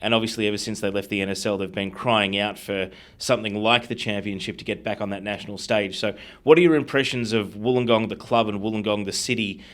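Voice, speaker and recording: A man's voice: on the low side, steady tone, fast talker, few pauses, a bit bright. An Australian man hosting a conversational sports interview, asking long, detailed questions. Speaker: interviewer and show host.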